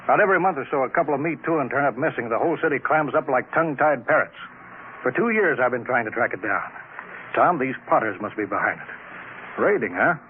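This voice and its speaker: An actor playing a blustering colonial bureau chief, brusque and commanding, in an old radio drama. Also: male voice, mid-range voice, expressive, fast talker, narrow, tinny audio, lightly acted. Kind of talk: 1950s radio drama acting. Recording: scratchy old radio broadcast.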